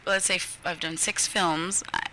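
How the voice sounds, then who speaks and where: A woman's voice: fairly low pitch, steady tone, moderate pace, sharp tone. Brash giggly woman from Texas. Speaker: guest. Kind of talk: casual panel chat.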